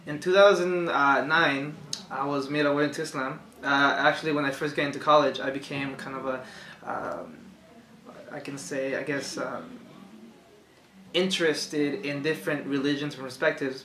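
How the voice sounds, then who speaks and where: A young man from Chile, speaking as an interview guest in a soft, hesitant way, with many fillers, in a room lined with bookshelves. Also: fairly high pitch, fairly even intonation, moderate pace. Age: young adult. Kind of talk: conversational testimony. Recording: echoey room, faint hiss.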